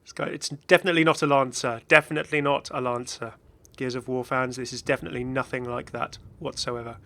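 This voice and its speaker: A man's voice: medium pitch, fairly even intonation, quick pace, creaky, bright, forceful tone. A man giving casual, off-the-cuff game commentary as a video host, chatty and rambling with enthusiastic asides.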